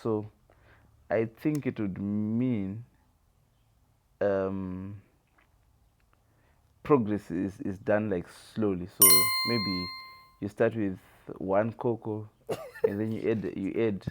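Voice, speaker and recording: A man from Zimbabwe with a Zimbabwean accent, chatting casually and thinking aloud in halting, repeated phrases. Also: lowish voice, steady tone, a little mellow. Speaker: quiz contestant on a family vlog. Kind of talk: casual chat.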